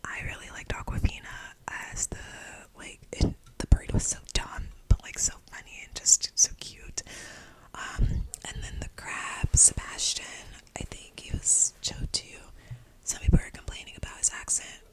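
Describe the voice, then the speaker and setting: A young woman giving a casual, informal movie review, whispering nearly the whole time in a breathy, unvoiced voice. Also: moderate pace, rough recording. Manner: informal, slangy, rambling. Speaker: film reviewer.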